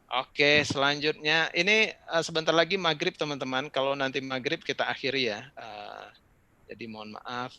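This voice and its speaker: A middle-aged man from Indonesia talks easily and conversationally, with a bright, forceful tone. The sound is dry and close, as on a video call. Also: mid-range voice, moderate pace, no echo. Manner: relaxed, conversational, with frequent fillers and asides. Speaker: speaker answering questions in an online session. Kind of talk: casual talk and advice.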